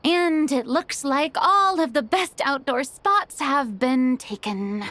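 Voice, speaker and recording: A woman's voice: high voice, lively intonation, slow, fairly bright tone, a little hiss or hum, heavily acted. A woman voicing an earnest, polite young film-crew member in a video game. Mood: earnest, concerned.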